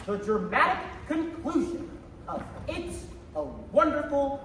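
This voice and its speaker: A man's voice: very high voice, sing-song, slow pace, heavily acted. A young man playing a punchy announcer reading a 1940s radio advertisement in a declamatory sales style. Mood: salesmanlike. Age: teenager or young adult. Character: punchy old-time radio sponsor announcer. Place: school theater stage. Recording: echoey stage, distant mic, loud noise.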